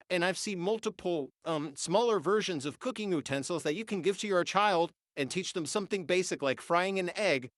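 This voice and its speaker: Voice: medium pitch, dry recording, clean studio sound. Nineteen-year-old ranter, stuffy-nosed, AI-filtered voice, heated and cursing. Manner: heated, ranting, swears. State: congested and sniffling. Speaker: vlogger. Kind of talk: unscripted rant.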